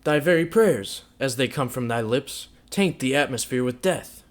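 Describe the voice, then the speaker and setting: A man voicing a bitter, scornful young man in a story, declaiming loudly with rage. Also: mid-range voice, lively intonation, moderate pace, voice pushed up, close to an everyday voice. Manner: loud, declamatory, raging.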